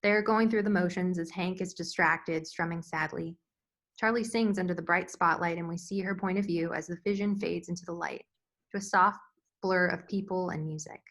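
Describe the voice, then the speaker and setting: A woman's voice: on the low side, fairly even intonation, normal speed, fairly bright tone. A woman reading scene directions as scripted narration at a screenplay table read. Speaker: stage directions reader.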